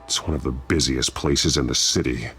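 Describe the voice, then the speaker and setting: A man playing a grim masked vigilante detective in a video game, with a gravelly growl and a terse, stern, deliberate delivery. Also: very deep voice, a bit bright, faint music behind, heavily acted. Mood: grim, focused.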